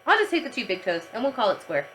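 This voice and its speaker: Woman playing Louis, a bright-voiced teasing yard teacher. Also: a little high, sing-song, a little hiss or hum. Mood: haggling. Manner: brisk, wheedling bargainer.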